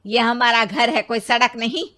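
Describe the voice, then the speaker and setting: A woman voicing a stern, disapproving Indian mother-in-law who scolds sarcastically in an animated story. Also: on the high side, normal speed. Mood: angry scolding. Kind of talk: animated moral story acting.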